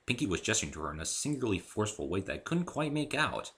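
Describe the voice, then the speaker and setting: A man's voice: on the low side, big pitch swings, fast, fairly bright tone. A man reading scripted first-person fiction as an amateur story narrator.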